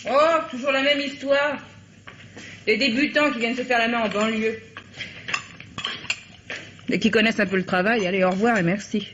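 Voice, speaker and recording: A woman's voice: a little high, steady tone, moderate pace, long pauses, a bit bright, natural voice. An actress playing a weary, worldly woman who keeps a bistro and is mixed up in crime, slangy and complaining, in an old French radio drama. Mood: matter-of-fact. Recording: dull, noisy old radio recording.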